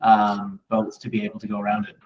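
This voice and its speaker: Man, interviewer, mid-pitched, distant from mic, dull lo-fi sound, rambling, agreeable. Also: completely flat delivery, fast. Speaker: interviewer, real estate host. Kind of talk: conversational interview.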